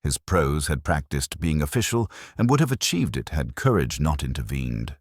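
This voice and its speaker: Very deep male narrator, dryly ironic. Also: sing-song, moderate pace, no room sound, clean studio sound. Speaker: narrator and reader. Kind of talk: scripted literary mystery narration.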